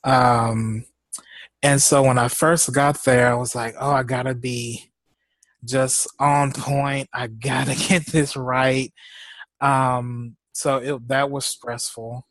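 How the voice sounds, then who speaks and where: A man's voice: mid-range voice, flat delivery, fairly bright tone, no echo. A man chatting casually as a co-host, rambling and laughing often, sounding congested, speaking. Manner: slow, drawn-out talk. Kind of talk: casual chat.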